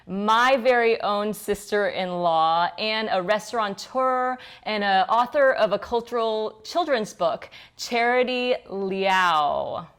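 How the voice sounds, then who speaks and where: A woman's voice: mid-range voice, a bit bright, clean tone. A woman hosting a podcast, welcoming a guest with enthusiasm. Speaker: podcast host. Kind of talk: show introduction.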